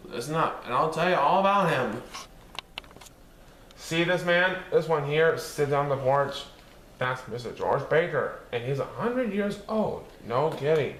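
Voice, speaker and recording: A man reading a picture book aloud as a storyteller, expressive and sing-song, playing with repeated words. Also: on the high side, measured pace. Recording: roomy echo with faint background noise.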